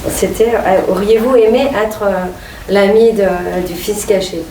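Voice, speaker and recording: A woman's voice: mid-range voice, steady tone, unhurried, warm tone. A woman politely putting a question to an author, recorded in a room away from the microphone. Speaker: audience questioner at an author talk. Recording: distant, echoey room with faint noise.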